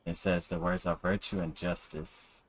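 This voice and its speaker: A man chatting casually as a live game streamer, in a hesitant, halting way. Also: sits low, little pitch movement, slight background noise. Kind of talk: casual game livestream chat.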